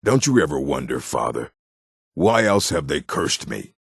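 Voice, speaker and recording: An actor playing a gruff adult male orc from a fantasy stronghold in a video game, with a gravelly, husky voice and a blunt, weighty delivery. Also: very deep voice, sing-song, a little slow, dry recording, clean studio sound, exaggerated voice. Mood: wary, plain-spoken.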